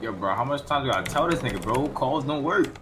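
Animated man in noisy game chat. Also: medium pitch, brisk. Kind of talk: slangy in-game chat, short questions.

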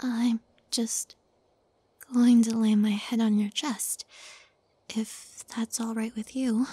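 A woman playing a shy, tender young witch girlfriend in an intimate roleplay, speaking softly and haltingly in a breathy voice that often drops to whispers. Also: a little high, flat delivery, no room sound. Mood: tender, affectionate. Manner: hesitant, halting, soft-spoken, often dropping to whispers.

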